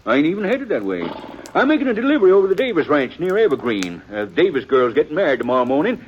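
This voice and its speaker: A man's voice: fairly high pitch, huge pitch swings, quick pace, breathy edge, exaggerated voice. An actor playing a chatty, folksy frontier wagon peddler with a rural Western American accent and an eager salesman's patter, in 1950s radio western style. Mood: flustered. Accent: rural American West. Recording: muffled, noisy old radio recording.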